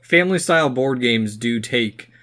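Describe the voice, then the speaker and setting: A man's voice: medium pitch, expressive, moderate pace, a bit bright. A man co-hosting a podcast, talking casually and feeling his way through rambling, hesitant phrases. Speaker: podcast host.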